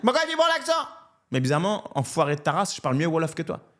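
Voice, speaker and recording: A French man in his thirties, a comedian, telling his life story in a casual interview in an animated, candid way. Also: on the high side, fast. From France. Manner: animated and candid, often adds "tu vois". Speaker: comedian guest.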